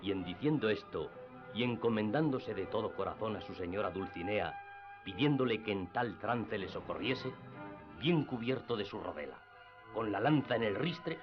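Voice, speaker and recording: Deep-voiced male narrator. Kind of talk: scripted literary narration for radio theatre. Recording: dull lo-fi radio drama with music.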